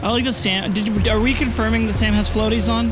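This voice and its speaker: High-pitched man running a tabletop game. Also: steady tone, quick pace, music in the background. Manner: informal, profane slang. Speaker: game master of a tabletop RPG. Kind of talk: casual improvised scene narration.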